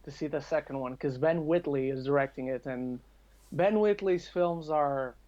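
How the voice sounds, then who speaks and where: A man's voice: fairly high pitch, normal speed, dark tone, lo-fi sound. A man chatting casually as a guest on a film podcast, informal and opinionated.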